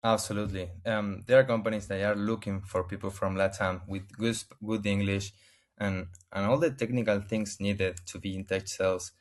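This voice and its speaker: Argentine guy, spanish is his first language, low voice, keeps restarting and hunting for english words. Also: fairly even intonation. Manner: halting, searching for English words with many restarts. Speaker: interview guest. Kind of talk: casual interview answers.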